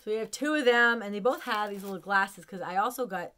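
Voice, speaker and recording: A woman in her forties with an American accent, chatting casually and excitedly to camera in a room at home. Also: mid-range voice, brisk. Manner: excitable, gushing. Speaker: vlogger showing a haul of collectibles. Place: small room at home, indoors.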